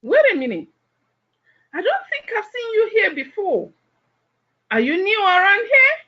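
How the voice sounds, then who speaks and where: A haughty biblical-era gossip played by a woman in an audio drama. Her high voice swoops widely as she passes condescending, disapproving judgment. The sound is slightly dull and lo-fi. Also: huge pitch swings, a little slow, exaggerated voice. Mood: self-important. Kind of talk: audio play acting.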